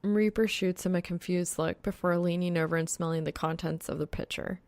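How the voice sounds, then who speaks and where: Woman reading audiobook fic, kinda low w lots of vocal fry, wry informal storytelling. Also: steady tone, fairly quick, a little mellow, no room sound. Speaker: audiobook narrator. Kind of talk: scripted story narration.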